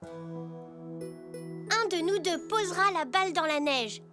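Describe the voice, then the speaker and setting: Eager, very high-pitched little boy zebra. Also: expressive, takes it slowly, heavily acted. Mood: playful, explaining. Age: child character. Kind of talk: children's cartoon dialogue. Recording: music behind the voice.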